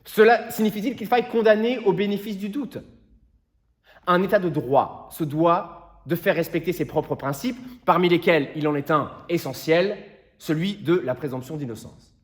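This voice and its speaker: Male actor for earnest, impassioned defence counsel, lighter-pitched man, deliberate and solemn courtroom oratory. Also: close to an everyday voice. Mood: indignant, insistent. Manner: solemn, rhetorical, deliberate phrasing. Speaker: actor performing a monologue. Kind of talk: theatrical courtroom closing speech.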